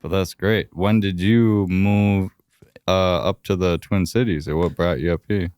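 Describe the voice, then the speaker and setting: A man's voice: low voice, fairly even intonation, unhurried, darkish tone, smooth, dry recording. A man co-hosting a podcast, asking short friendly follow-up questions in a casual interview. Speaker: podcast co-host.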